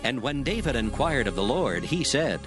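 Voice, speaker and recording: A man narrating dramatized scripture in a measured, formal way. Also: mid-range voice, big pitch swings, music in the background.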